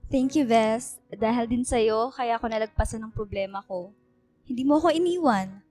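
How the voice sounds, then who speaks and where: Actress as caring, emotional young Filipina in a radio drama, high voice, light music underneath. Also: female voice, moderate pace, darkish tone, no room sound, lightly acted. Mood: playful, affectionate. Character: emotional, caring young woman confiding in friends. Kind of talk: radio drama dialogue, Taglish.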